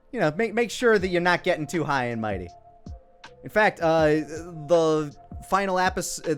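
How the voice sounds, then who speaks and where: A man giving casual, rambling, self-deprecating commentary on his own old videos. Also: medium pitch.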